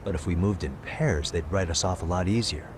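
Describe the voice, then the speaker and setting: A man plays a shrewd, easygoing game detective with a low voice. He talks casually and matter-of-factly. There is clear noise in the background. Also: fairly quick, lightly acted. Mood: practical. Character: cool, shrewd male private detective, easygoing with his crew. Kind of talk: video game cutscene acting.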